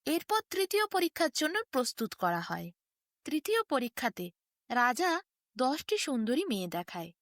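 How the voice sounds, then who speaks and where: A woman's voice: high-pitched, very animated, moderate pace, fairly bright tone, dry recording, clean studio sound. A woman narrating a bedtime fairy tale in a sing-song storytelling manner. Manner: sing-song storytelling, quoting figures in her own voice.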